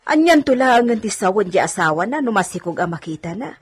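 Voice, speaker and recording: Radio drama maid and confidante, female, played by an actress: medium pitch, a bit husky, frank, concerned. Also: sing-song, fast. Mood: worried, gossiping. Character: concerned, outspoken female housemaid, confidante to her mistress. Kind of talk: Ilocano radio drama acting.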